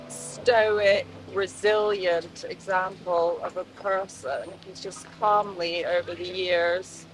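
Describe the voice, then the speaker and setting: A person speaks slowly and thoughtfully in a street interview. The recording is noisy and made outdoors on a street. The voice sits at a mid pitch. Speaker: member of the public.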